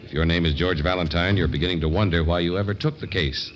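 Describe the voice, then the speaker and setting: A male radio announcer giving smooth, measured, dramatic scripted narration. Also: deep voice, a little hiss or hum. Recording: dull old radio broadcast.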